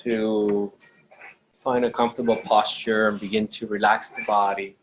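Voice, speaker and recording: Lowish voiced guy doing guided meditation, slow gentle instructions w lots of pauses, some ambient noise behind him. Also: little pitch movement, low-quality recording. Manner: slow, deliberate, pausing between short phrases. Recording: clearly audible background noise.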